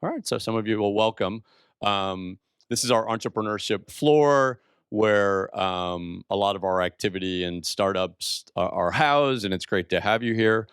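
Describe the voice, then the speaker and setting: A man's voice: fairly low pitch, moderate pace, a bit bright, smooth, dry recording, studio quality. A man hosting a university talk, giving a chatty, jokey welcome with lots of fillers, speaking into a handheld microphone. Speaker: event host, executive director. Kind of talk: welcoming introduction. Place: university entrepreneurship floor.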